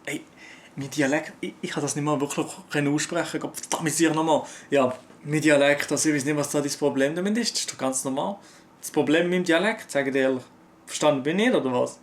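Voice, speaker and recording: A man's voice: a little high, fast talker, a little hiss or hum. A young man from Switzerland with a Swiss accent, chatting casually with viewers and answering their comments in loose, slangy talk full of fillers. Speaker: YouTuber.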